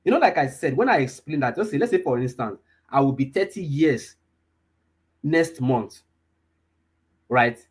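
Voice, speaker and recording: A young man walks a live online class through a lesson, his voice husky and a little rough around the edges. He keeps repeating key points and asking if everyone is following, and his pitch sits squarely in the middle range for a man. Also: very animated, moderate pace, long pauses. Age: 20s. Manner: repetitive, checks in with listeners often. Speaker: data analysis instructor.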